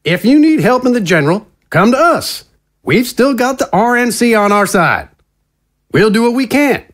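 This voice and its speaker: An actor playing a slick, glad-handing conservative politician in an audio drama, with a folksy, smooth insider's manner. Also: male voice, very high voice, very animated, normal speed. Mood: brisk, confident.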